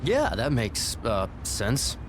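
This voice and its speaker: A man's voice: mid-range voice, huge pitch swings, slow, background noise. A man playing a nervous, slangy stoner DJ in a video game gang, hesitant and defensive. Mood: uneasy. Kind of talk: video game cutscene acting.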